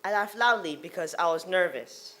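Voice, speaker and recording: A woman's voice: lowish voice, normal speed, fairly bright tone. A female performer reading scripted story narration in a readers theater style.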